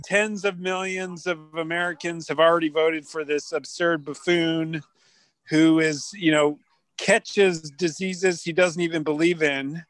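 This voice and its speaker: A man joins a podcast as a guest and talks politics with a sardonic, cynical edge. His voice sits slightly higher than most men's. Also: steady tone, a little slow, fairly bright tone, dry recording. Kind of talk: casual political chat.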